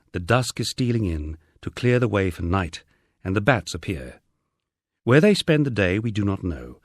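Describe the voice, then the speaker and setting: Male narrator, middle-aged, lowish register, animated intonation, for a wry scripted countryside memoir read in measured storytelling style. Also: no room sound, clean studio sound. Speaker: audiobook narrator.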